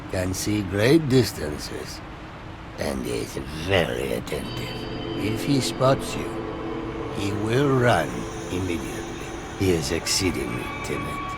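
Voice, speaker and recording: A man playing a gentle, serene hermit monk in a video game cutscene, speaking in a measured, formal, musing way. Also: deep voice, full character voice. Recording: loud music behind the voice.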